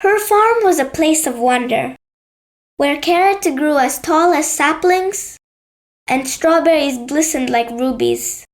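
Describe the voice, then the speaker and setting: A woman's voice: sits high, animated, slow. A woman narrating a scripted bedtime story for children as a storyteller.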